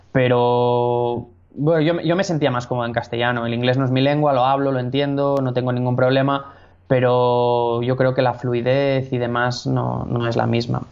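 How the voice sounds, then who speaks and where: A man from Spain with a Spanish accent, a public speaking coach talking in a relaxed interview, thinking aloud with frequent restarts and easy laughs. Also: mid-range voice, creaky, clear, resonant tone. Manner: thoughtful, many restarts, laughs easily. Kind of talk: casual interview chat. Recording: dull lo-fi sound.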